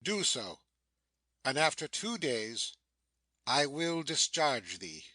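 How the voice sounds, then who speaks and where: A man playing a stern, commanding sorcerer master in a dramatic Shakespeare reading, declamatory and quick to scold. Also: medium pitch, very animated, pushed and bright, no echo, full character voice. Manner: declamatory, imperious.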